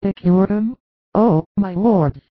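A man's voice: a little high, lively intonation, takes it slowly, no echo, voice pushed up. A male narrator voicing grieving young sisters, pleading and emotional, with a raised, strained delivery. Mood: hopeful. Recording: dry, dull lo-fi recording.

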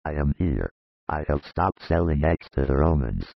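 A man voicing a gruff, wary older male villager in an audiobook, with a very deep, gravelly, husky voice and a slow, grudging way of talking. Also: big pitch swings, dry recording, exaggerated voice. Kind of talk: audiobook character voice. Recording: dull lo-fi dry recording.

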